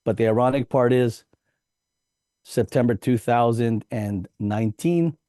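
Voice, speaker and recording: A man's voice: medium pitch, a little slow, mellow, no room sound, clean studio sound. A man as a podcast guest, telling a personal story in an earnest, reflective way with light laughs.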